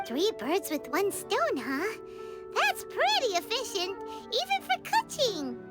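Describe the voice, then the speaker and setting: A woman's voice: very high-pitched, wildly swooping pitch, slow, over loud music, full character voice. A woman voicing a bubbly, eager young girl cook in a video game. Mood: excited. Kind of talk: scripted video game voice acting.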